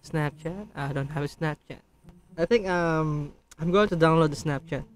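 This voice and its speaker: A man's voice: fairly high pitch, moderate pace. A shy, polite man in his twenties from the Philippines, chatting with strangers on a video call between songs. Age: 20s. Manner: shy, polite, repeats thanks. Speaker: singing vlogger on video chat. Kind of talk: casual chat with strangers, singing with guitar. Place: bedroom with pink light, indoors. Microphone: studio mic on stand. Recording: guitar music behind.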